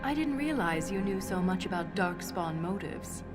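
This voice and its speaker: A woman playing a principled, dryly stubborn dwarf historian in a video game, speaking in a measured, precise way. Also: fairly low pitch, lively intonation, close to an everyday voice. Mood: dry, sparring. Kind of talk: video game cutscene dialogue. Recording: game music behind the voice. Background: music.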